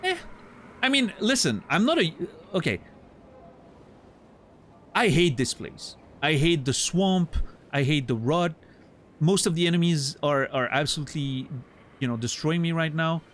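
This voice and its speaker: A man's voice: a little high, lively intonation, a little slow, long pauses, fairly bright tone, slight background noise. A man with a French accent, French his first language, chatting casually on a livestream while he plays, thinking aloud and answering chat with playful mock outrage. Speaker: streamer. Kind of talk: casual livestream gameplay commentary.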